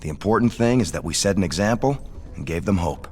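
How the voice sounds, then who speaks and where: A man playing a dutiful, idealistic second-in-command in a video game, formal and measured. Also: low-pitched, expressive, a little mellow, soft background music. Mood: earnest, dutiful. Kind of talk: video game cutscene dialogue.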